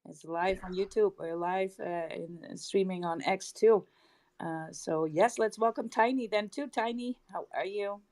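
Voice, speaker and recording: A woman's voice: mid-range voice, measured pace, a little mellow. A woman from the Netherlands hosting a live online audio chat, bubbly and chatty, laughing often and trailing off mid-sentence. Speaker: host of a live crypto audio space. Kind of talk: casual live-stream chat.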